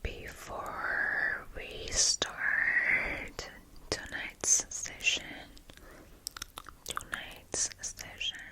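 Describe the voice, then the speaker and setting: A woman delivers ASMR in a breathy, unvoiced whisper with a crisp, sharp hiss to it. She takes it extremely slowly and gently, lingering over words and repeating short phrases as she guides the listener through relaxation. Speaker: ASMR host.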